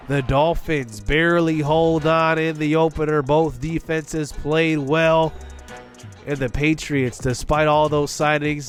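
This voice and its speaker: Stuffy-nosed guy doing play by play on a football game, faint music behind, gets loud on big plays. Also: on the high side. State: congested from illness. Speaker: gaming channel host. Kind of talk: play-by-play commentary over a football video game.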